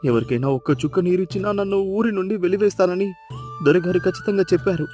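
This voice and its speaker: A man plays a poor, humble villager with a notably high voice. He sounds deferential and worried, pleading in a moral-story cartoon over light music. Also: fast, darkish tone, lightly acted. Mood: sorrowful, fearful. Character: humble, anxious poor village servant or farmer.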